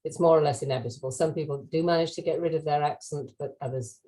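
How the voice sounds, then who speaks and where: A British woman, a webinar speaker, answering audience questions in a measured, conversational way over a video call. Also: low voice, fairly even intonation, warm tone. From United Kingdom. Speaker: webinar speaker, language expert.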